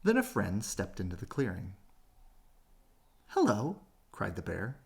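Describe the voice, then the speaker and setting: A man reading a children's story aloud as expressive bedtime narration, with dramatic, drawn-out phrasing. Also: low-pitched, creaky.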